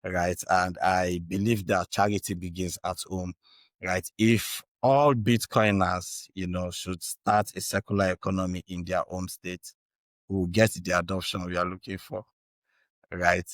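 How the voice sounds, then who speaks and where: Man, Nigerian accent, Igbo mother tongue, low-pitched, earnest, conversational, dry recording. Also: flat delivery, unhurried, no echo. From Anambra State, Nigeria. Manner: earnest, checks in with tags like "right" and "you know". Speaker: interview guest, Bitcoin educator. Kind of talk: conversational interview explanation.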